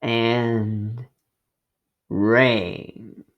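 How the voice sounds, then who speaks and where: A man reading a children's story aloud in a deliberate, expressive narrating style. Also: mid-range voice, dull audio. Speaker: storybook reader.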